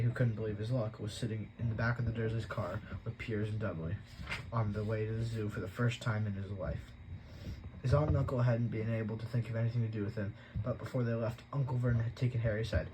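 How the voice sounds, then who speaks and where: A man reading an audiobook aloud at a steady pace, sometimes slipping and correcting himself. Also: fairly low pitch, noisy background. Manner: steady reading, occasional slips and self-corrections. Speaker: audiobook reader. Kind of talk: amateur audiobook narration.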